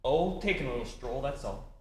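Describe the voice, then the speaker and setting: A man's voice: medium pitch, expressive, fairly quick, a little mellow, natural voice. A man playing a suspicious small-time crook with tough-guy slang in a radio drama. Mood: defiant. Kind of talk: 1930s radio drama acting. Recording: echoey hall, faint noise.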